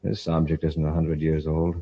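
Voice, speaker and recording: A man's voice: extremely low pitch, monotone, moderate pace, slightly rough, full character voice. An actor playing a decisive, inquisitive male space patrol commander in a 1950s radio serial. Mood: curious, assured. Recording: muffled old radio recording.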